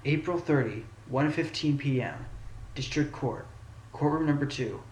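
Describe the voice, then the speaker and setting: A man playing a sly, quick-witted fox defense lawyer in an amateur audio drama. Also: on the high side, lightly acted. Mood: brooding. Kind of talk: amateur audio drama acting with first-person narration. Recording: roomy echo under loud music.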